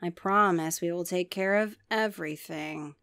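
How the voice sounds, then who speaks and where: A woman voicing a courteous male monster ticket seller in a storybook, gruff, calm and politely insistent. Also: normal speed, no room sound, studio quality, lightly acted. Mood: unbothered, polite. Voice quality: gruff, low.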